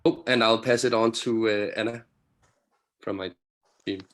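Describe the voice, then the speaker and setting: A man's voice: on the low side, steady tone, a little slow, fairly bright tone. A man from Denmark introducing himself on a panel, thoughtful and hesitant with many fillers. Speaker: team member, learning manager.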